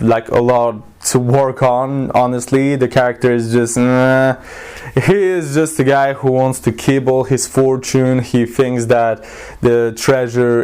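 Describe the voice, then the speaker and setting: Man rambling casually, clear smooth voice. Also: mid-range voice, darkish tone. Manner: hesitant, rambling delivery with frequent self-corrections; informal, opinionated, blunt. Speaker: film reviewer, video host. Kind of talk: casual unscripted movie review.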